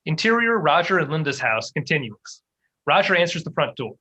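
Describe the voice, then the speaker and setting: A man's voice: fairly high pitch, big pitch swings, brisk, bright, forceful tone, breathy edge. A man reading scene headings and stage directions as scripted narration for a table read.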